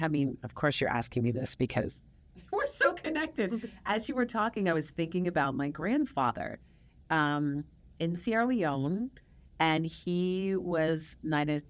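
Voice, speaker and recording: A woman's voice: sits low, big pitch swings, a little slow. A middle-aged woman hosting an interview, telling personal stories in a reflective way and repeating words for emphasis. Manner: reflective storytelling, warm emphatic repetition. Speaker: podcast host. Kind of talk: casual conversational interview.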